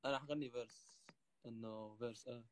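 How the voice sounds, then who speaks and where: A man on a live stream who freestyles and chats with a rapid, animated delivery. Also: medium pitch. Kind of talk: freestyle rap and casual chat.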